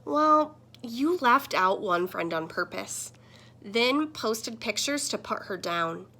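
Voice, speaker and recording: A woman voicing a friendly, earnest talking computer screen that gently scolds, in a children's picture book. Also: fairly high pitch, lively intonation, takes it slowly, exaggerated voice. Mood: gently scolding. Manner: earnest, explanatory.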